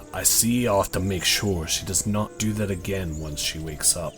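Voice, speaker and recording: A man playing a weary, stern fantasy father with measured, formal speech in an audio drama. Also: low-pitched, a bit bright, soft background music.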